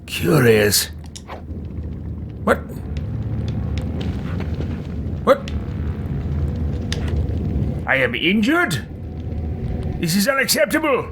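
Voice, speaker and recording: A man's voice: high-pitched, huge pitch swings, takes it slowly, long pauses, noisy background, full character voice. A man voicing a haughty, easily outraged fantasy mage in a video game roleplay, with pompous, formal, theatrical diction. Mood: indignant.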